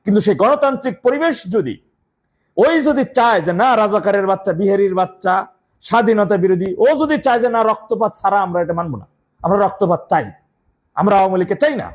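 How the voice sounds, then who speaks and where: A man's voice: high voice, fast. A man giving an angry, rambling political monologue full of insults and restarts. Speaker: political commentator.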